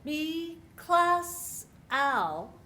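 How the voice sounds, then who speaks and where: A woman performs experimental sound poetry in a high voice, chanting broken syllables. Her pitch moves widely and her delivery is extremely drawn out, with faint ambient noise behind her. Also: sing-song, very slow, bright, forceful tone. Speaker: choir performer.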